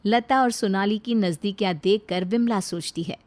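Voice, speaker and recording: A woman's voice: medium pitch, moderate pace, a little mellow. A woman reading scripted narration for a moral story.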